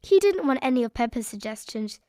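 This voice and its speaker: A woman narrating a children's read-aloud story in an expressive, measured storytelling manner. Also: on the high side, no room sound, clean studio sound.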